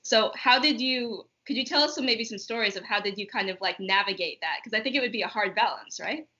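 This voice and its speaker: A woman's voice: mid-range voice, brisk, sharp tone. A woman hosting a casual online interview, phrasing things in an informal, tentative way. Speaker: host interviewer. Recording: slightly dull internet call audio.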